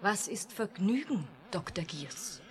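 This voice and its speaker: Slow, detached artificial woman. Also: mid-range voice. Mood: cool, matter-of-fact. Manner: slow, detached, literal questioning and flat statements. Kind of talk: 1980 German radio drama acting.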